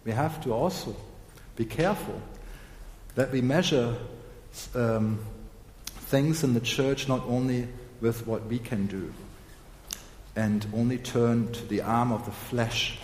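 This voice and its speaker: A hoarse man preaching a sermon, slow and deliberate, clearing his throat often. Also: mid-range voice, a little mellow, slight background noise. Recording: echoey hall with long reverb.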